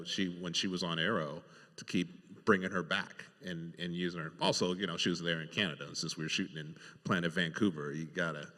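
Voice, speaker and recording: Low-voiced man, halting, in a hall. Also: fairly bright tone. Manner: halting, loose, repeats words. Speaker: TV writer on a panel. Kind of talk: casual panel chat. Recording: reverberant hall.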